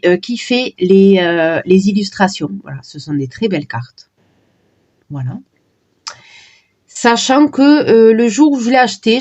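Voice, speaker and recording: A woman casually presenting her oracle card decks, talking in a hesitant way with many pauses and fillers. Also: mid-range voice, darkish tone, muffled recording. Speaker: card reader vlogger.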